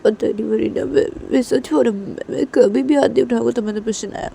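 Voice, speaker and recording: Woman playing a remorseful, soft-voiced soothing lover. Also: fairly high pitch, fast talker, vocal fry, a little hiss or hum, pitched above the performer's own voice. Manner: soft, insistent, repetitive reassurance. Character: remorseful, tender lover soothing and promising never again.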